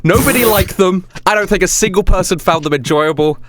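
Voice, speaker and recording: A man hosting a tabletop game as game master, chatting casually with a giggly, animated manner. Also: high voice, fairly quick, noisy background.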